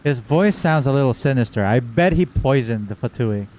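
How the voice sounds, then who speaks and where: A man's voice: medium pitch, lively intonation, normal speed, a little mellow, slight background noise. A man giving casual, playful reaction commentary on a video game, riffing on words and repeating them. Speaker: gaming content creator reacting to game.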